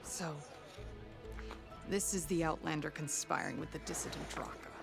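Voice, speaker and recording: A woman's voice: low voice, moderate pace, vocal fry, over music. An actress playing a stern female desert clan commander who speaks curtly and will not bend, in video game dialogue. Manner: curt, unbending.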